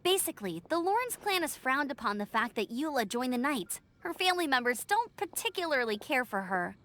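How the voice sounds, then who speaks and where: A woman's voice: sits high, very animated, brisk, a bit bright. A woman playing a friendly, upbeat teenage knight scout in a video game, speaking in a bubbly, encouraging way. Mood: earnest, friendly. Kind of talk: scripted video game character acting.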